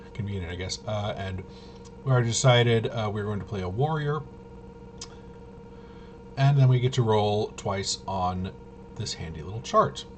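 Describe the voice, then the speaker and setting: A man with a fairly low voice talks casually through a tabletop game walkthrough. He thinks aloud haltingly, often muttering to himself as he goes. Clear background noise sits behind his voice. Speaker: host of a tabletop RPG video. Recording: noisy room, clearly audible background noise.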